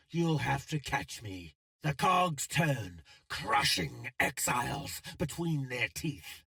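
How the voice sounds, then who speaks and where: An actor playing an eloquent, scheming syndicate villain in a video game, with a pompous, florid, theatrical delivery. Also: male voice, fairly high pitch, gravelly, pushed and bright, no room sound, full character voice.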